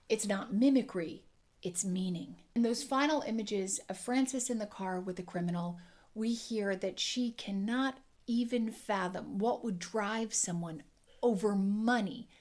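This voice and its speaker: A woman dialect coach teaching an accent lesson, explaining clearly and demonstrating sounds. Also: mid-range voice, darkish tone.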